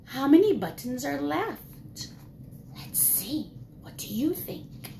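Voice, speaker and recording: A woman preschool teacher reading a picture book aloud to young children in an exaggerated, expressive way, asking questions as she goes. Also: sits high, slow pace, gravelly, slight background noise. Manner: gasps and drops to whispers for suspense. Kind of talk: animated children's storytime read-aloud.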